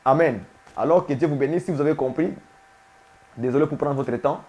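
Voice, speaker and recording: A man's voice: a little high, a little fast, a little mellow. A man preaching a sermon, emphatic and repetitive, often putting questions to his listeners. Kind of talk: sermon teaching to viewers.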